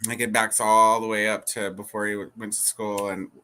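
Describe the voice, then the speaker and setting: A co-host chatting casually about books, who often restarts sentences and laughs softly. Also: medium pitch, flat delivery, normal speed, smooth. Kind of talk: casual chat.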